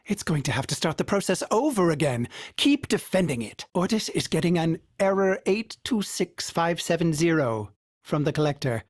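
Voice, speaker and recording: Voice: medium pitch, big pitch swings, moderate pace, no room sound, clean studio sound. An actor voicing a cheerful, fussy male ship AI who speaks formally, calls himself by name and stutters with glitchy repeats. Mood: fretful. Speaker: ship companion AI. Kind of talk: video game voice lines.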